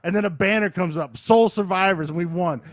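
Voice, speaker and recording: A middle-aged man hosting a podcast, telling casual anecdotes in an animated, excitable way with some swearing. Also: high-pitched, a little fast. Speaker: podcast host.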